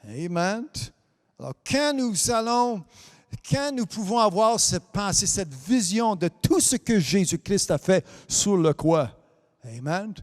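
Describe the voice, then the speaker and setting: A man whose first language is English, preaching a French sermon as a pastor, dropping amen and hallelujah between halting restarts and sometimes lifting into a sing-song cadence. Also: sits high, lively intonation, big-room sound. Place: church hall.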